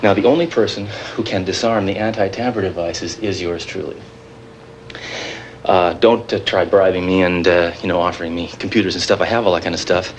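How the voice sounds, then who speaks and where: An actor playing a nervous but defiant technical expert turned terrorist in a TV drama, explaining in a halting, rambling way. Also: male voice, lowish voice, close to an everyday voice. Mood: defiant, threatening. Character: nervous but defiant male bomb-builder terrorist with technical know-how. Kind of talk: naturalistic TV drama acting. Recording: noisy 1980s TV live-feed audio.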